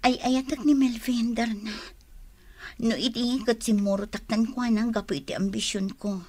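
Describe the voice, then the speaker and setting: A woman's voice: a little high, fairly even intonation, normal speed, dark tone, dry recording, close to an everyday voice. A woman with a Filipino accent acting a devoted young woman wounded in love in a radio drama. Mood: hurt, confiding.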